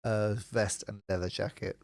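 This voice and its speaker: A young man co-hosting a casual live podcast, chatty and relaxed, laughing often. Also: on the low side, normal speed, creaky, dry recording, studio quality. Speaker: podcast co-host, touring musician.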